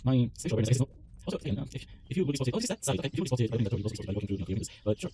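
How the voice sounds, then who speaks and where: A man's voice: mid-range voice, very animated, very fast, warm tone. A young man whose first language is Italian, rambling and self-mocking while commenting on a game he plays, laughing often. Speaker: let's play host. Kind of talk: casual gaming commentary.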